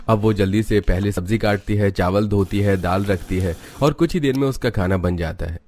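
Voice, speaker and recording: Low-voiced man narrating a cartoon story. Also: normal speed, darkish tone, slight background noise. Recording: music behind the voice. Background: music.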